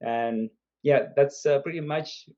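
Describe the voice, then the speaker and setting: A man's voice: medium pitch, takes it slowly, warm tone, muffled recording. A man in his fifties from Zimbabwe, telling his story as a guest in a casual video call interview. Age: 50s. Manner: chatty storyteller, laughs between points. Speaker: guest entrepreneur.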